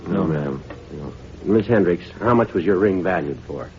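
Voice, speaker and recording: An actor playing a terse, patient, businesslike police detective, polite and deadpan, in a 1950s radio drama. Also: male voice, deep voice, normal speed, a little hiss or hum. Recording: dull vintage radio recording.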